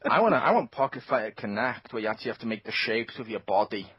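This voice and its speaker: Excitable man with lively pitch. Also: fast, husky, no echo. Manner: excitable, laughs often, bursts into high squeals. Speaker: podcast co-host. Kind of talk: casual gaming podcast chat. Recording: dull lo-fi home podcast mic.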